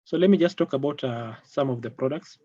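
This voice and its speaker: A man's voice: medium pitch, mellow, husky, no room sound. Kenyan guy running an online tech training, repeats himself a lot, muffled dry audio. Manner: halting, repeats phrases. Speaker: product trainer. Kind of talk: live online technical training. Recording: dull lo-fi online call audio.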